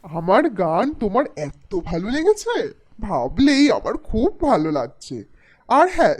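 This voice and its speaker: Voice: sits high, a little mellow. An actor voicing a poor, naive, aging village peanut seller in a cartoon, in a simple, folksy, bashful manner. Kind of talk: Bengali cartoon voice acting.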